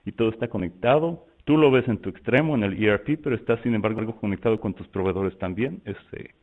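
Low-voiced Mexican man on a tinny line. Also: expressive, fast. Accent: Mexican Spanish. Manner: loose and conversational, many fillers and restarts. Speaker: software presenter. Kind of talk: webinar product walkthrough.

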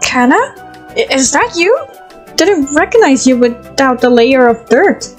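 A woman's voice: sits high, expressive, unhurried. A woman playing a brash, fun-loving young mercenary in a game fan dub, cheeky and bold. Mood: teasing, rowdy. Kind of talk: fan dub voice acting of a fantasy story game. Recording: music behind the voice.

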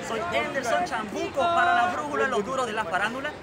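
A man's voice: extremely high pitch, animated, a little fast, distant mic, over loud music. A man working as a field reporter, presenting upbeat and putting questions to people in a busy city square. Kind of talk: street reporting and interviews. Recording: outdoor crowd noise, off-mic.

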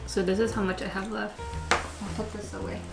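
A woman in her twenties talks casually to a handheld camera, chatty and self-deprecating. She laughs easily, and her voice sits at a middling pitch. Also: little pitch movement, brisk, creaky, loud music behind the voice. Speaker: lifestyle vlogger. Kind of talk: casual vlog chat to camera.

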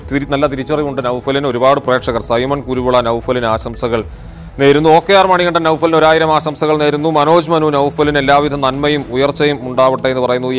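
A man in his thirties presenting a morning news show from a TV studio, earnest as he introduces a story and reads out viewers' greetings. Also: medium pitch. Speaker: news anchor. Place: TV studio with backdrop.

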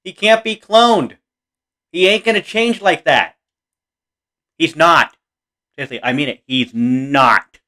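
An American man in his thirties giving casual reaction commentary in a halting, repetitive, earnest way. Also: on the high side, bright, forceful tone. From Oregon, United States. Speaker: reaction video host. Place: small room indoors.

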